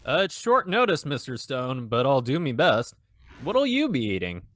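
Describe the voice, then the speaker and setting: Man acting a sharp, swooping, humble landlord. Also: mid-range voice, wildly swooping pitch, normal speed. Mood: obliging. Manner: deferential, hesitant, colloquial. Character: obliging, humble inn landlord. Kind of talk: amateur radio drama acting.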